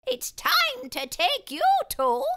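A woman's voice: very high voice, wildly swooping pitch, takes it slowly, sharp tone, pitched above the performer's own voice, exaggerated voice. A woman voicing a jolly, doting fairy godmother in a storybook, with trilling theatrical laughter. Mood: jolly, excited.

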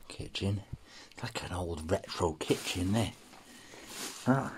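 A man's voice: low-pitched, animated, slow pace. A British man giving casual, informal exploration commentary to his viewers on a handheld camera indoors. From United Kingdom. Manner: informal, addresses viewers as guys. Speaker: urban explorer vlogger. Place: inside a small derelict cottage. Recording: noisy handheld camera audio.

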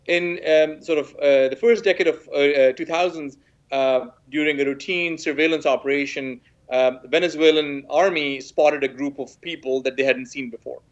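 A man in his forties from Pakistan, a professor explaining science as an interview guest over a home video call, measured and full of "sort of" hedges. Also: a little high, fairly even intonation. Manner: measured, hedging with "sort of", frequent restarts. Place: small room at home, indoors. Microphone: laptop webcam video call. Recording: dull lo-fi video call audio.

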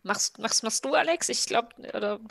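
A woman's voice: a little high, animated, normal speed, vocal fry, a bit bright, dry recording. A woman talking casually and improvising as a player in an online tabletop roleplaying game. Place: indoor room at home, video call.

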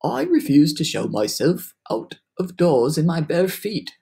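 A woman voicing a bossy, grumbling male centipede from a storybook, shouting and complaining. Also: low-pitched, big pitch swings, measured pace, creaky, slightly rough, full character voice. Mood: stubborn.

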